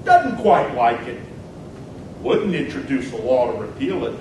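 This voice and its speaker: A man's voice: sing-song, unhurried. A man playing an impassioned 19th-century American senator, declaiming emphatic political oratory and rhetorical questions in a dramatic reading. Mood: scornful. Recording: very echoey hall, distant mic, loud rumbling noise.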